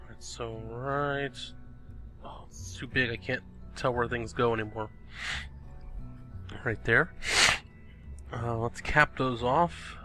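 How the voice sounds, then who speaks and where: Young man, sniffly, casual gameplay commentary, bright forceful tone, faint music behind. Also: medium pitch, fairly even intonation, takes it slowly, long pauses. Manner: chatty, thinks aloud, exclaims at the game. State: sniffly, recovering from illness. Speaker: gaming video host. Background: background music.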